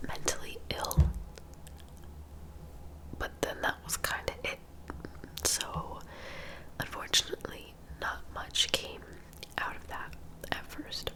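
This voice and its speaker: Woman, breathy whisper, slow pace, soft informal ASMR narration. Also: rough recording. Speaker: ASMR host narrating true crime.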